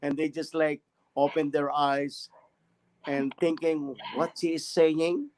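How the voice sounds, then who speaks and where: A man's voice: fairly high pitch, level tone, a crowd in the background, low-quality recording. Man hosting an interview. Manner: halting non-native English, effusive praise. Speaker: interview host. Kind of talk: interview questions and comments.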